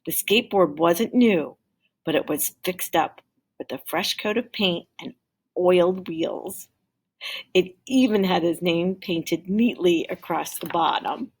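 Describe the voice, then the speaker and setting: Low, creaky-voiced woman reading a storybook. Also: slow. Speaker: teacher reading a picture book aloud. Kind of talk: expressive storybook read-aloud.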